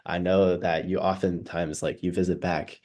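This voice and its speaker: A man's voice: sits low, flat delivery, moderate pace, a little mellow. A man interviewing a student, asking casual questions that ramble with repeated starts.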